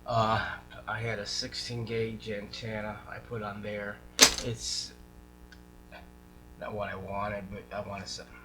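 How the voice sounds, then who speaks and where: A man's voice: on the low side, completely flat delivery, a little slow, long pauses. A man talking casually through a hands-on radio repair, informal and folksy, thinking aloud as he goes. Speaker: radio repair hobbyist showing a restoration. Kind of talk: casual hands-on workshop chat. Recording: clear background noise, electrical hum.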